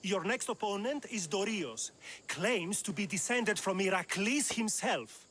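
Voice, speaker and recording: Blunt fight trainer, mocking, wry, high sharp voice. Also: male voice, animated, measured pace, slight background noise. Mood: teasing.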